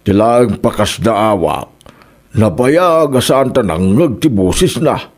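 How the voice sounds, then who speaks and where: A man's voice: lowish voice, expressive, slow, a little mellow, full character voice. A man playing an elderly, plain-spoken, doting father in an Ilocano comedy radio drama, with an Ilocano Filipino accent. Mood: resigned, patient.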